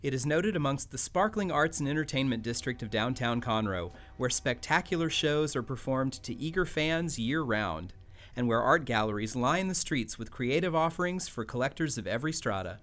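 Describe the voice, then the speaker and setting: A man reading a theater announcement in a smooth, measured way, then acting in a radio play. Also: mid-range voice, expressive, fairly bright tone. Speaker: narrator and lead actor. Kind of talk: 1940s radio drama acting.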